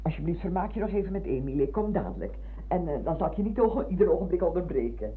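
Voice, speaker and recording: A woman's voice: fairly low pitch, very animated, fast talker, slightly rough, heavily acted. An actress playing a talkative, insistent older former schoolmistress with a motherly way, in a radio drama. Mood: bustling. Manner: effusive, insistent, hesitating. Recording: muffled, hissy old radio recording.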